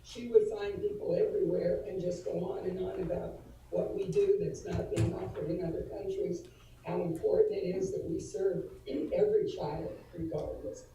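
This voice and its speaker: A woman speaks on a video call, her voice very dark and mellow and a bit hoarse from allergies. She talks in an earnest, halting way, with many fillers and restarts, as she pays tribute to a colleague. She sits back from the mic in a strongly echoing room with faint background ambience. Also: fairly low pitch, animated, distant mic, a little hiss or hum. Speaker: adult education administrator presenting to school board. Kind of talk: formal tribute presentation at a virtual board meeting.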